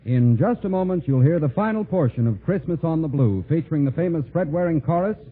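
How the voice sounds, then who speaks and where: Higher-voiced man announcing on tinny vintage radio. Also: expressive, fairly quick, a little hiss or hum. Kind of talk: formal 1940s radio announcing. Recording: tinny 1940s radio broadcast recording.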